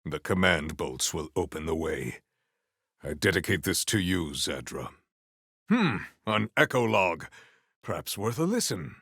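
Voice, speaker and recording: A deep, gravelly, grave formal warrior. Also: male voice, big pitch swings, fairly bright tone, no echo, clean studio sound, exaggerated voice. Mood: solemn. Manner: formal, stately, measured diction. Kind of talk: video game voice acting.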